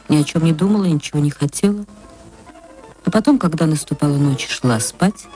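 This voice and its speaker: An actress reading a short story for radio, in expressive dramatic literary narration with drawn-out words. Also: female voice, low-pitched, rough recording.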